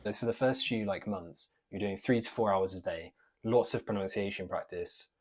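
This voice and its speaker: Low-voiced male interview host. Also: normal speed. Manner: curious, asks stacked follow-up questions. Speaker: host, Mandarin teacher. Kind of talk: interviewing a guest.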